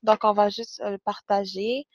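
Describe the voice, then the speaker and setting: A woman's voice: medium pitch, level tone, unhurried, dry recording. Moderator woman on dull dry call audio. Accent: Canadian French. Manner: friendly, brief, encouraging. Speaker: webinar moderator.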